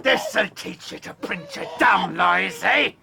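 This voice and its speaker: High, aggressive gang thug. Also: male voice, lively intonation, slow pace, gravelly, slightly rough, soft background music, full character voice. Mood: violent rage. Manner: aggressive, forceful. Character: brutal, eager male gang enforcer. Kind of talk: radio drama acting. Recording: busy crowd noise behind. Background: crowd of voices.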